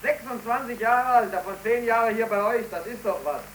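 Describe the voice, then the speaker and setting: A man's voice: very high-pitched, normal speed, a bit bright, a little hiss or hum. An actor playing a brash, defiant young male factory worker in a 1930s German radio play. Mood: defiant, mocking. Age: young adult. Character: brash 26-year-old factory worker, defiant toward old hands. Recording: echoey 1931 radio recording, crowded sound.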